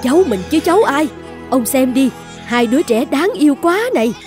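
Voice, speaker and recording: Casting: tender cartoon matriarch, high exclaiming voice, pleading, light music underneath. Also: female voice, expressive, normal speed. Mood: doting. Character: soft-hearted middle-aged mother, a doting new grandmother. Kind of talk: animated fairy-tale dubbing.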